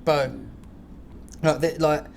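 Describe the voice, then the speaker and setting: A man's voice: fairly high pitch, fairly even intonation, slow, gravelly, darkish tone, a little hiss or hum. A man with a British accent hosting a casual, improvised podcast, chatting in slangy, sweary language. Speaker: podcast host.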